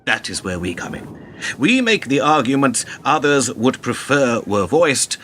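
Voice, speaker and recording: A man's voice: mid-range voice, sing-song, unhurried, a bit bright, slight background noise. A man acting in a radio play as a smug, cynical older political fixer who talks in a pompous, wordy, condescending way.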